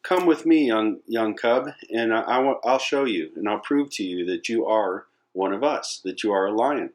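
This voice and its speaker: A man's voice: fairly low pitch, normal speed, natural voice. A man voicing a big adult lion in a told fable, an insistent mentor who questions and urges emphatically. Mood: commanding. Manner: emphatic, urging.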